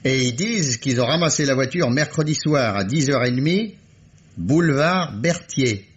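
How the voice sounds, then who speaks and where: A man's voice: medium pitch, expressive, normal speed, slightly rough. An actor playing an eager, deferential police officer reporting to his superior in a French radio mystery. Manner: apologetic, eager. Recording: muffled vintage radio recording.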